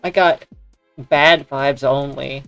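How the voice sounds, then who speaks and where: A man co-hosting a gaming channel, chatting casually and joking in a deadpan way. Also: medium pitch, slow, gravelly, clear, resonant tone, no room sound, muffled recording, faint music behind. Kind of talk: casual banter during gameplay.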